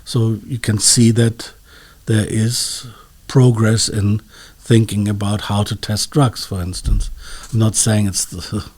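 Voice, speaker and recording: A man's voice: lowish voice, level tone, slow, darkish tone. A man speaking as an expert interview guest, explaining in a hesitant, scholarly way and searching for his words.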